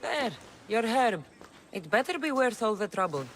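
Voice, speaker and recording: An actress playing a tough ancient Greek mercenary in a video game, with a dry, sardonic manner. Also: female voice, a little high, sing-song, moderate pace, a little hiss or hum. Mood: dry, guarded. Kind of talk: video game cutscene acting.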